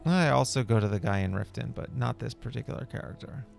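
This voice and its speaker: A man's voice: mid-range voice, animated, fast talker, gravelly, a little mellow, soft background music. A man hosting a gameplay video, giving casual, rambling, laid-back commentary in character.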